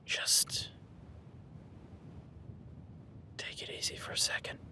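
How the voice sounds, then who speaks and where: A man playing a gruff cowboy hunter in an audio roleplay, whispering while stalking and swearing and giving orders in a crisis. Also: moderate pace, sharp tone. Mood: hushed, tense. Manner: swearing, commanding, urgent. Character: gruff rugged cowboy hunter tracking a beast. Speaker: ranch hand tracking a beast.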